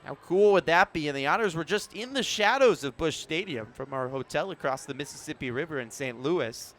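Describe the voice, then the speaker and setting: An American man doing sports play-by-play commentary, measured between plays and rising to excited calls. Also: on the high side, slight background noise.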